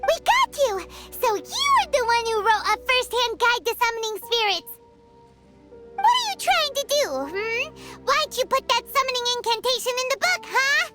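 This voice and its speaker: A woman voicing a tiny, excitable childlike fairy companion in a video game, squeaky and emphatic. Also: normal speed, faint music behind, full character voice. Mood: accusing. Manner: exclamatory, indignant, emphatic. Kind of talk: scripted video game voice acting.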